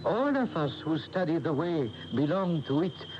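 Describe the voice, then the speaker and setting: High-voiced, serene Indian guru. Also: male voice, very animated. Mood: serene, formal. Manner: formal, measured, gently instructive. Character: serene, courteous Indian male meditation guru. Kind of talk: 1980 radio drama acting. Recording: noisy, muffled AM radio broadcast tape.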